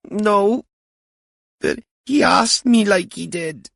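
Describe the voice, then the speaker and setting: Upset young girl, played by a male narrator, halting and stammering, far higher pitched, creaky edge, dry close sound. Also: lively intonation, fairly bright tone, dry recording, full character voice. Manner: halting, stammering, repeating words.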